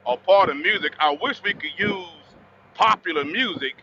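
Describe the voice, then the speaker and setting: A Southern American man chats as a folksy host, his voice high for a man and very lively in its swings. It comes through a slightly dull motorcycle headset, with faint vehicle noise behind him. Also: normal speed. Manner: folksy, colloquial. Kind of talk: casual rolling interview. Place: riding a motorcycle outdoors. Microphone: motorcycle helmet headset.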